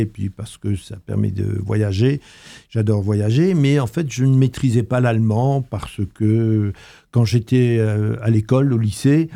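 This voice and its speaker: Young guy from alsace, halting radio answers, lots of ums, dark gravelly voice. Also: fairly low pitch. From Alsace, France. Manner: hesitant, many fillers and restarts. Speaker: guest, history master's student. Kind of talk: radio interview. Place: radio studio.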